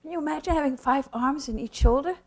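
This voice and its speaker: A woman's voice: sits high, fast. A woman presenting puppetry in an explanatory storytelling talk, theatrical and emphatic, with rhetorical questions.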